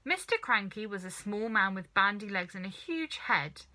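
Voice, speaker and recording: Bright, animated woman reading a story. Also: mid-range voice, moderate pace. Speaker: storybook reader. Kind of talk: expressive children's story narration.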